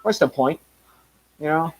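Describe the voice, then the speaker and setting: A man's voice: fairly high pitch, unhurried, a little mellow, breathy edge, rough recording. Rambling man in his thirties. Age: 30s. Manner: rambling, many fillers and restarts. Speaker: channel host. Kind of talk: casual live stream chat.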